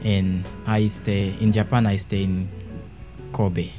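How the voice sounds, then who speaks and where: A man from central Uganda with a Ugandan accent, speaking as a talk show guest in measured phrases with pauses. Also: lowish voice, little pitch movement. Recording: clear music behind.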